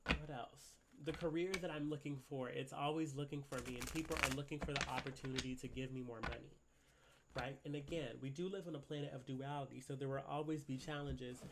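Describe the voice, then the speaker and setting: A man's voice: medium pitch, steady tone, a little fast, creaky, very noisy. A man with a congested voice from a cold, giving a casual tarot reading at a desk and rambling with lots of "right?" and fillers. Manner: rambling, filler-heavy, keeps asking "right?". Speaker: tarot reader. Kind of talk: casual spiritual reading to camera. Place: at a desk indoors.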